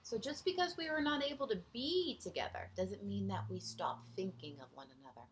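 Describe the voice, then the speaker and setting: A woman teacher reading a picture book aloud to young children, gently pausing for chatty asides and questions to her listeners. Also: medium pitch, expressive, a little hiss or hum.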